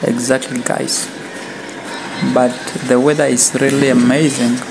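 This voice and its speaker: A man's voice: mid-range voice, level tone, a little slow, noisy background. Chatty young man vlogging on a phone. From Namibia. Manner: chatty, repeats "like" and "guys", chuckles often. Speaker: vlogger interviewing people. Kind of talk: casual street-interview vlog. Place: shopping mall and car park, indoors and outdoors. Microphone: handheld phone camera at arm's length.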